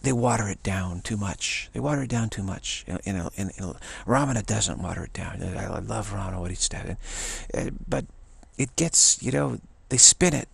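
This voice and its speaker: An older man, a spiritual teacher, giving a rambling monologue, halting and repeating words, with frequent chuckles, recorded on an action camera. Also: on the low side, animated, gravelly, breathy edge, dry recording.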